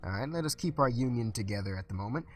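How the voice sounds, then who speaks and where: A man's voice: medium pitch, animated, fast talker. A young man with a stuffy voice casually commenting while playing a management game, thinking aloud with lots of fillers. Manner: chatty, thinks aloud with frequent uh and restarts. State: stuffy, recovering from illness. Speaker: let's play host.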